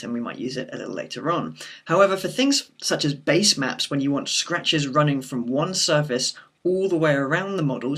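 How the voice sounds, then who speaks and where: A man with a croaky voice from a sore throat, talking through a screen-recorded software tutorial in a steady explanatory way. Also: a little high. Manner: steady explanatory walkthrough with occasional fillers.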